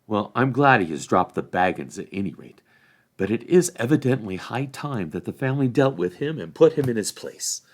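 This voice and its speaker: A man voicing a well-spoken, indignant gentleman hobbit in a storybook reading. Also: mid-range voice, big pitch swings, moderate pace.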